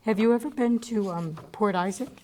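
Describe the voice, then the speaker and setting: Chatty woman host with a mellow voice. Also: medium pitch, normal speed, a little hiss or hum. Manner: chatty, eager, telling anecdotes and asking questions. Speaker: radio show host. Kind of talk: casual interview chat.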